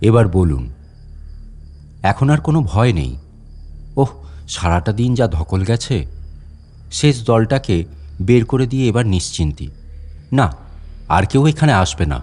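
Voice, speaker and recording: A man's voice: medium pitch, darkish tone, lightly acted. A man playing a wary, talkative fort guide in a dramatic storytelling monologue, chatting to unseen visitors and putting questions to them. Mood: confiding, ominous. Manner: conversational, addressing unseen listeners with questions. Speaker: audio story narrator. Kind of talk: dramatic first-person monologue narration.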